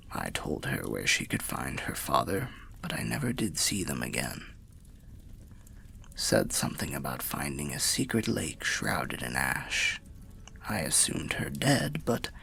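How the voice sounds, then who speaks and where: A man playing a weary, wry undead storyteller in an ASMR fantasy roleplay, confiding in a breathy, gravelly half-whisper with old-fashioned phrasing. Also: rumbling low, pushed and bright, slight background noise, heavily acted.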